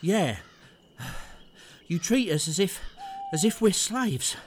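An actor playing a cheeky, bickering Cockney henchman in an audio play, mock-polite in rough grammar. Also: high-pitched, animated, slow, heavy background noise. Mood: protesting. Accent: Cockney English.

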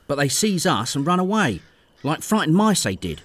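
An actor playing a cheeky, bickering Cockney henchman in an audio play, mock-polite in rough grammar. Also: a little high, sing-song, normal speed, slight background noise. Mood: apologetic. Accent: Cockney English.

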